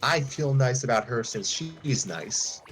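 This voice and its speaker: A man's voice: medium pitch, big pitch swings, slow pace, a bit bright, soft background music. A man voicing an earnest, awkward young male trainer who stumbles over his words. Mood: flustered. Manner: flustered, stumbling restarts.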